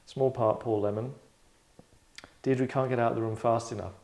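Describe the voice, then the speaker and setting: A man hosting and directing a table read, chatting casually in a tongue-in-cheek acting critique, deadpan, rambling and self-satisfied. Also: medium pitch, normal speed. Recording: roomy, echoey indoor sound.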